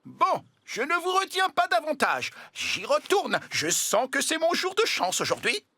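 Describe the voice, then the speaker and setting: A man's voice: extremely high pitch, huge pitch swings, measured pace, pushed and bright, dry recording, clean studio sound, exaggerated voice. A man voicing a pompous, self-assured, fussy treasure hunter in a French cartoon dub. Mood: smug, confident. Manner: pompous, boastful.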